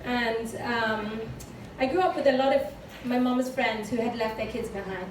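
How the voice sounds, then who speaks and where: A woman with a British accent gives a personal talk, speaking candidly with plenty of fillers and small laughs. Her voice sits a little high. She is picked up from a distance in an echoey room with clear background noise. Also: normal speed. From United Kingdom. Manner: chatty and candid, frequent fillers, light laughs. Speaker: speaker giving a talk with slides. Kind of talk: conference talk, personal story.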